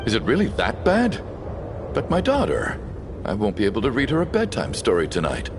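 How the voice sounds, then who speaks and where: A devoted, anxious dad with a mid-pitched voice that swings widely. He is a video game character heard over clear noise. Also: huge pitch swings, a little fast. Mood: worried. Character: worried, devoted father.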